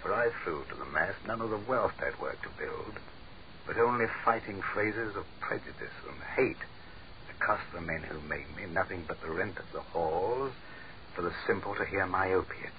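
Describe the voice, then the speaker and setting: Deep-voiced sneering tyrant facing death, old-time radio drama, faint hiss. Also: male voice, lively intonation. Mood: commanding. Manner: sneering, commanding, measured. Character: doomed male dictator, contemptuous and cold. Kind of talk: 1940s radio drama acting. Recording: muffled 1940s radio broadcast.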